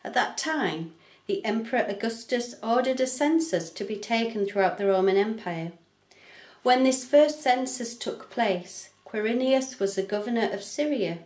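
A middle-aged woman minister reading scripture aloud for a church service in a measured, clearly enunciated way, recorded in a small living room. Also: on the high side, expressive, a little mellow. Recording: roomy, echoing home recording.

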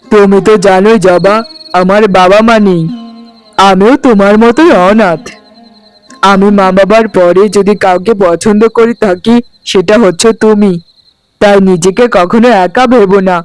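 Man playing an earnest, high-voiced devoted husband. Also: fairly even intonation, measured pace, clean tone, faint music behind, low-quality recording. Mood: loving, reassuring. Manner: earnest, emotional, pleading. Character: devoted, tender young village husband, earnest and pleading. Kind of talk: cartoon voice acting in Bengali fairy tale.

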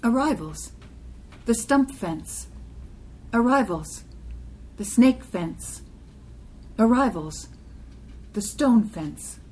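A woman's voice: fairly high pitch, expressive, very slow, pauses often, warm tone, husky, low-quality recording. A woman giving a scripted poetry reading in short, separate phrases.

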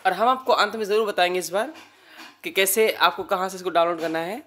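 A man's voice: sits high, fairly quick, bright, forceful tone. An Indian man with a sore throat, giving a casual app tutorial as the host.

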